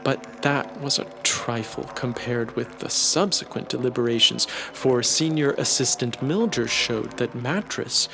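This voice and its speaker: A man narrates a satirical science fiction story in the style of a literary audiobook. His voice sits at an average male pitch. The delivery is measured and expressive, clearly scripted, and music plays prominently beneath it.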